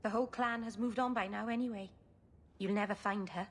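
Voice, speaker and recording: An actress playing a stubborn, anxious young elf woman in a video game, speaking earnestly and haltingly. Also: mid-range voice, fairly even intonation, natural voice. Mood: determined, pleading. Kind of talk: dramatic video game acting.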